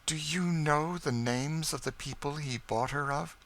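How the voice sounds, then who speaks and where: Man voicing an anguished, high-pitched woman. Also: measured pace, a bit bright. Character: anguished, intensely anxious woman desperate for answers. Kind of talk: audiobook character dialogue.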